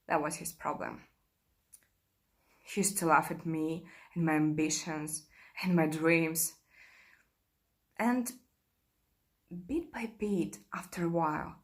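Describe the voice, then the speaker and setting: A woman performing a modern theatrical monologue as a fragile, exhausted young actress, halting and emotional, wavering between despair and faith, sometimes drifting into whispers. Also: on the low side. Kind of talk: modern theatrical monologue played as a video call.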